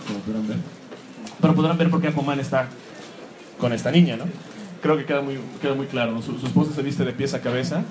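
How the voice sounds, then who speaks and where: A man giving a live, joking talk about comics to an audience in a room. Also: medium pitch. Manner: joking, self-mocking, animated asides. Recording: clear background noise.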